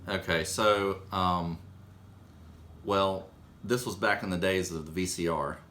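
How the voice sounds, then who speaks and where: A man with a very low voice tells a story in an offhand, conversational way. He hesitates and corrects himself as he wanders along. There is some background noise on the recording. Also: fairly even intonation, slow pace, fairly bright tone. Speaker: storyteller recalling his own childhood. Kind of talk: casual, rambling anecdote told in conversation.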